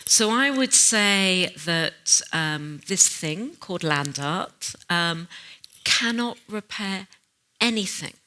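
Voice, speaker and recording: A woman's voice: on the low side, animated, slow pace, bright, forceful tone. A woman speaking in an onstage conference conversation, earnest and emphatic, stressing key phrases as she reflects.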